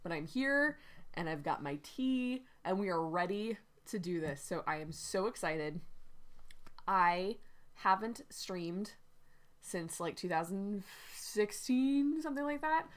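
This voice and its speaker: A woman hosting a casual writing livestream, hoarse and stuffy-nosed from a cold, talking in a chatty, rambling way with many "like"s and "um"s. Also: mid-range voice, lively intonation, slow pace. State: stuffy, runny nose. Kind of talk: casual live-stream talk.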